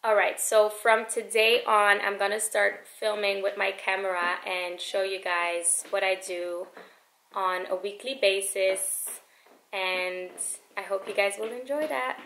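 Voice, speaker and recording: A woman's voice: mid-range voice, fairly even intonation, measured pace, vocal fry, a bit bright. A woman in her thirties chatting casually to camera as a vlogger, with plenty of ums and uhs. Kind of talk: casual vlog talk to camera. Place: home kitchen. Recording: echoey room.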